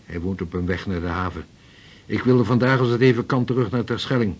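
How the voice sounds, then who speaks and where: An actor in a radio play playing an eager, seasoned Dutch sea captain who talks heartily and decisively. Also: male voice, fairly low pitch, fast, husky. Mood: brisk.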